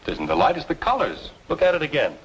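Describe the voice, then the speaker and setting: A man's voice: a little high, huge pitch swings, quick pace. An actor playing a troubled, stubborn, guarded man in an old film noir drama, answering in terse, clipped phrases. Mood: brooding, defensive. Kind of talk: mid-century film noir drama acting. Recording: noisy old film soundtrack, hissy.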